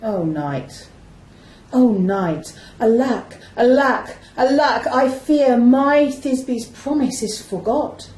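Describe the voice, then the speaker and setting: Overwrought young male lover played by a woman, higher voice, melodramatic Shakespearean declaiming, echoey room. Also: slow pace, darkish tone. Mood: melodramatic lament. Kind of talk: amateur Shakespeare radio play. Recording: roomy, echoing amateur recording.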